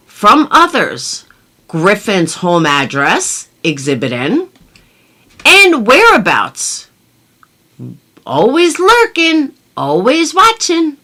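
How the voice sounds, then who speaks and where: Theatrical, sarcastic woman with swooping pitch. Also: sharp tone. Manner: theatrical, sarcastic, drawn-out emphasis. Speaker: commentary video host. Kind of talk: reading a document aloud with mocking commentary.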